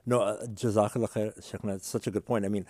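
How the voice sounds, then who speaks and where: A man's voice: fairly low pitch, steady tone, fast, darkish tone, no room sound, clean studio sound. A man hosting a casual interview, informal, working his way toward questions through examples and check-in phrases. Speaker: interviewer, host.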